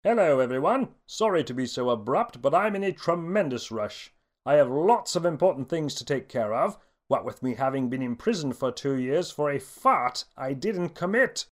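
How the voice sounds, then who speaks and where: A man voicing a hurried, brashly blunt freed prisoner in a storybook tale, breezy and abrupt. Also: medium pitch, lively intonation, voice pushed up, lightly acted. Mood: breezy, rushed.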